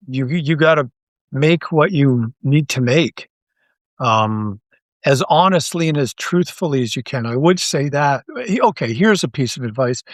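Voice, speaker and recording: A Canadian man talking casually as an interview guest, earnest and halting as he thinks aloud. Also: medium pitch, no echo. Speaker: interview guest, audio drama writer and creator.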